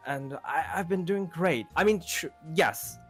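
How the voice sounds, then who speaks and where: Earnest young male best friend played by a man, tender and halting, game roleplay, music behind. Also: fairly high pitch, close to an everyday voice. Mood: awkward, confiding. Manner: halting, repeats words, pads with "you know". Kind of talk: improvised Minecraft roleplay acting.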